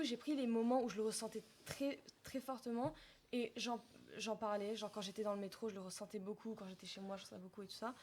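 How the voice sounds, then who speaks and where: A young woman from Lebanon, a singer-songwriter, answering interview questions softly and searching for her words. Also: mid-range voice. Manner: soft-spoken, searching for words, trailing off.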